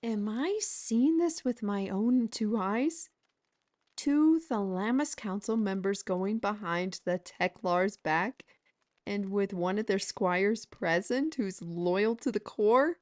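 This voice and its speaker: A woman's voice: mid-range voice, takes it slowly, darkish tone, close to an everyday voice. A woman playing a bold, teasing artisan steward with a playful, persuasive manner in a fantasy audio drama. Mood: incredulous.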